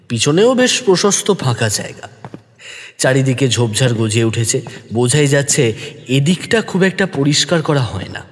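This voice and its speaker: A man narrating a detective story in the first person, expressive and deliberate, also speaking the detective's lines. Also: mid-range voice, long reverb tail. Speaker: narrator who also voices the young detective.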